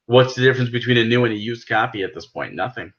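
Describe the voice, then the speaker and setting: A man's voice: mid-range voice, quick pace, a bit bright, muffled recording. A man with an American accent talking casually and persuasively about things as a podcast host, emphatic and explanatory. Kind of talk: casual gaming podcast discussion.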